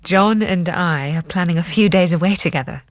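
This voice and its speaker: An actress playing an earnest, tender woman doctor in a radio drama, with a measured, reflective delivery. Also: fairly low pitch, no echo, studio quality, lightly acted. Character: earnest, tender woman doctor recalling events in her journal. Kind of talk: radio drama acting with reflective diary narration.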